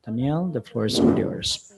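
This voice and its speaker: A man interprets a panel moderator in real time. His voice sits at an average pitch for a man. His phrasing is loose and hesitant. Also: expressive, normal speed, creaky, noisy background.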